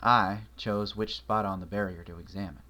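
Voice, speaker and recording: A man reading a story, voicing a calm, wry man who speaks in a dry, measured, matter-of-fact way. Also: fairly low pitch. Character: calm, wry man comforting his friend.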